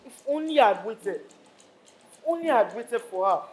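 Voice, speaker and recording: A man's voice: very high-pitched, animated, normal speed, darkish tone. A man with a Nigerian accent acting a proud, troubled Yoruba king in a declamatory stage style. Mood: regretful. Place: stage of a live play. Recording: noisy live stage recording.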